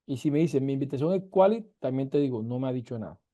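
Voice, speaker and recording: A man's voice: medium pitch, lively intonation, fast talker, warm tone. A man from Colombia's Caribbean coast, with its accent, teaching an online university class in an emphatic way full of rhetorical questions, on a video call. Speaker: university lecturer.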